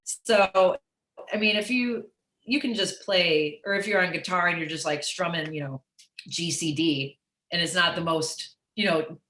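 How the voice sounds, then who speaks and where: A woman's low, raspy voice with a forceful bright edge, recorded with noticeable room echo. She talks candidly and informally, like an interview guest, swearing easily and leaning on little conversational tags. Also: measured pace. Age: middle-aged. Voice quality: raspy alto. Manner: animated, profane, peppers talk with "like" and "you know". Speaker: interview guest, musician and comedian. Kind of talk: casual, candid interview chat. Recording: roomy echo.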